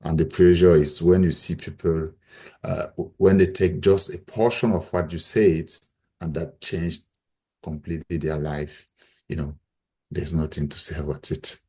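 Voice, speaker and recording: A man's voice: deep voice, flat delivery, normal speed. A middle-aged man with a Cameroonian accent, sharing personal stories as a panel guest. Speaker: panel guest, volunteer mentor.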